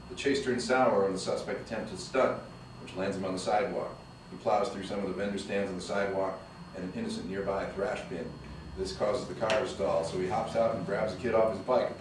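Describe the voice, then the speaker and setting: A man's voice: on the low side, steady tone, fairly quick. A man reading the stage directions at a screenplay table read, heard from a distance in an echoey, noisy room.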